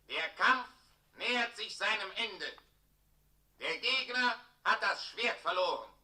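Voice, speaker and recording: An actor playing a pompous municipal herald, declaiming official bulletins solemnly in a 1960s radio play. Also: male voice, high voice, bright, forceful tone, roomy sound, distant mic, heavily acted, low-quality recording.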